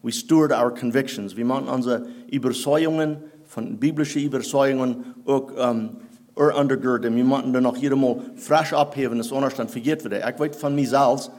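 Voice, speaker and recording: A man whose first language is Plattdeutsch, preaching a sermon in halting German that he mixes with English phrases. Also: medium pitch, a little mellow. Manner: halting, searching for German words, mixing in English.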